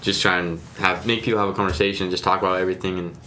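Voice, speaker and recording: A man's voice: deep voice, little pitch movement, bright, forceful tone, a little hiss or hum. A young man of nineteen, co-hosting a podcast, talking earnestly in casual chat. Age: young adult. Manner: fast, earnest, says man a lot. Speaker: podcast co-host.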